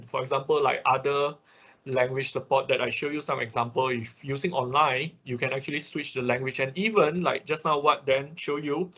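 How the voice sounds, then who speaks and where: A man giving an informal technical lesson online, explaining haltingly, repeating himself and tagging phrases with quick check-ins. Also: medium pitch, a bit bright. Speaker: workshop instructor. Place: online class over a computer. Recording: dull lo-fi online call audio.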